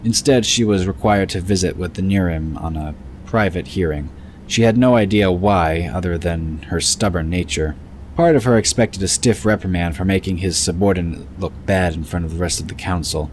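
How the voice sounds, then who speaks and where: A man's voice: low voice, normal speed, slight background noise. A man reading scripted narration for a fantasy audio drama. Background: music.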